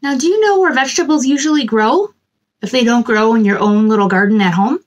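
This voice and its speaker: A woman's voice: on the high side, brisk, dull audio. A woman in her thirties hosting a children's story time, reading a picture book aloud in a bubbly, sing-song way from a small room. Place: small decorated room indoors.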